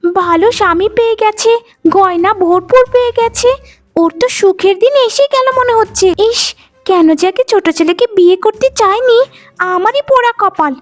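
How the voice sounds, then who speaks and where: A woman's voice: very high voice, normal speed. A woman voicing a jealous, spiteful young wife in a melodramatic cartoon, whining and scolding theatrically. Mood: bitter, plotting. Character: jealous, scheming young wife, twin sister, whiny and spiteful. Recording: loud music bed under the voice.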